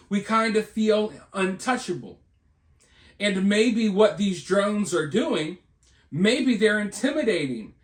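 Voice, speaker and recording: A man's voice: sits high, fairly bright tone. An American man giving informal, speculative news commentary in a conversational, rhetorical way, often checking in with his listeners. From United States. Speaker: online video commentator and channel host. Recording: roomy, echoing voice recording.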